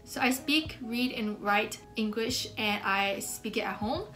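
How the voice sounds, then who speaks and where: An eighteen-year-old woman talks casually to the camera in a small bedroom, her voice sitting a bit high. She is upbeat and chatty and fills her sentences with "like". Her is Mandarin, and faint music plays underneath. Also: unhurried, a bit bright, rough recording. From United States. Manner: chatty, upbeat, many "like" fillers. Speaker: student vlogger.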